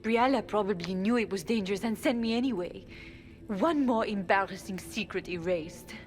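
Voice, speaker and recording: A woman's voice: medium pitch, normal speed, natural voice. Woman voicing a bitter frightened servant. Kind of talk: video game cutscene dialogue.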